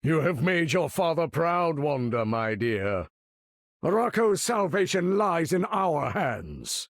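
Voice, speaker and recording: A proud older supervillain for a video game, husky and gravelly in a raised register. He speaks slowly and grandly, with formal phrasing and sweeping, commanding swings of pitch. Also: big pitch swings, no echo, studio quality, heavily acted. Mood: stately, grave. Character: proud, imperious older male mutant master of magnetism. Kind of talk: theatrical game character voice lines.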